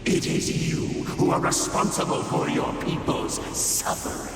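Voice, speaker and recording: A man's voice: a little slow, close to an everyday voice. An actor playing a grave, accusing otherworldly man in a dramatic video game cutscene, solemn and declamatory. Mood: grave accusation. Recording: cavernous reverb under loud game noise.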